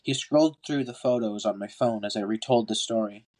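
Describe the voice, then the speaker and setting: A man's voice: on the low side, a little fast, darkish tone. A man with a stuffy, congested nose narrates an amateur audiobook as a podcast host, now and then stumbling and rereading. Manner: stumbles over words and rereads lines. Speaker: podcast host reading a book aloud.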